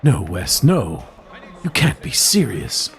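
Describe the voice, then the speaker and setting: A man acts a haunted doctor in a horror audio drama. He is grave and appalled, his voice low, with faint music underneath. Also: huge pitch swings, slow, vocal fry, full character voice. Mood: horrified. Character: haunted, horrified male doctor, reluctant lab assistant.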